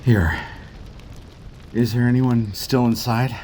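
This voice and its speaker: An actor in an audio drama playing a gruff, sardonic tough guy who comes to the rescue, wry and urgent. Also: medium pitch, fairly even intonation, takes it slowly, a little mellow, slight background noise, close to an everyday voice.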